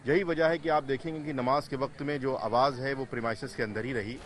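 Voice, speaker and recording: A man speaking as an interviewed spokesman, measured and formal, pausing hesitantly. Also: mid-range voice, fairly even intonation, noisy background. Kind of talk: spontaneous news soundbite.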